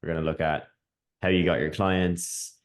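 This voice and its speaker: Upbeat low-voiced man hosting a call. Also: flat delivery. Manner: upbeat, quick, says "man" a lot. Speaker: host and coach. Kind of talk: casual interview on a live call. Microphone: video call.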